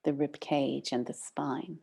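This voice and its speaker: Female meditation guide, deep relaxed tone with creaky edges, unhurried and gently instructive. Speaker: meditation teacher.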